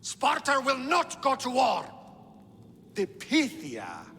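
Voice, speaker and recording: An actor playing a haughty, furious man of authority in a video game, shouting imperious proclamations in an echoing hall. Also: very high-pitched, wildly swooping pitch, takes it slowly, exaggerated voice. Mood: imperious fury. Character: haughty, furious male authority silencing a rebel. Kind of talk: dramatic video game cutscene acting. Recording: echoing hall with noisy ambience.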